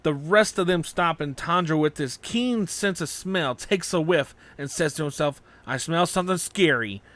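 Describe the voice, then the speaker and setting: A man's voice: on the high side, normal speed, fairly bright tone. A man reading fan fiction aloud as a dramatic audiobook narrator.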